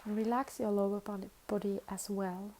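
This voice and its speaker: A woman leading a guided meditation as a teacher, with soothing, unhurried instructions and long pauses. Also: mid-range voice, fairly even intonation, darkish tone, slight background noise, low-quality recording.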